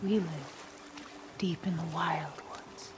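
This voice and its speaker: A woman playing a gentle, wry Norse warrior mother in a cinematic video game, speaking tenderly and with measured, soft teasing. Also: deep voice, noisy background. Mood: warm, wistful. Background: music.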